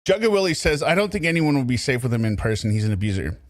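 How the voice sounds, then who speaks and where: Casual, animated male commentary host. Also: mid-range voice, very fast, dry recording, studio quality. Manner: casual, animated, laughs and riffs. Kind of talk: casual true crime commentary.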